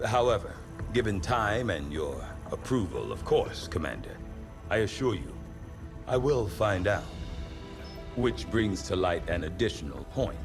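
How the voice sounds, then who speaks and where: Deep, formal, proud scientist. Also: male voice, very animated, music in the background. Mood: proud, assured. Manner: formal, measured, verbose, erudite. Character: male chief scientist, formal, proud, precise middle-aged researcher. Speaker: chief science officer. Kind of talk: video game cutscene acting.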